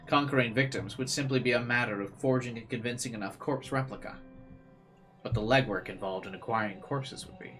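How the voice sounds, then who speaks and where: A male let's player with a medium-pitched voice reads from a visual novel. He slips between narration and casual remarks. Faint game music sits behind him. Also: a little fast, a bit bright. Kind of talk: visual novel read-aloud with casual commentary.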